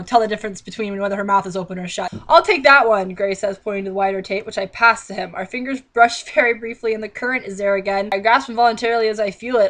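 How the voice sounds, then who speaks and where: Young female vlogger, fairly high pitch, theatrical and exasperated, reading aloud with mocking commentary. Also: a bit bright. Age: young adult. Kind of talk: mocking read-aloud with sarcastic running commentary. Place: small indoor bedroom.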